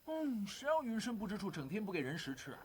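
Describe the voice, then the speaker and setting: Cheeky, high-voiced animated young man. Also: fast talker. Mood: playful. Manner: teasing, breezy, theatrical. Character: carefree, cheeky, playful young male disciple. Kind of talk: animated drama voice acting.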